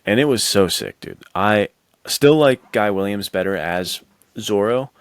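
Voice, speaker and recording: A man's voice: lowish voice, animated, a little slow, clear, resonant tone, no echo. An American man co-hosting a podcast, chatting about films in an informal, slangy, enthusiastic way. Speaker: podcast co-host. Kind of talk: casual film chat.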